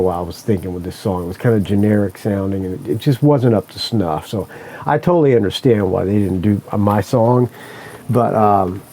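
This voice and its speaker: A man's voice: fairly low pitch, mellow. A man hosting a podcast, telling a story in a casual, rambling way, correcting himself often and swearing freely. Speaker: podcast host.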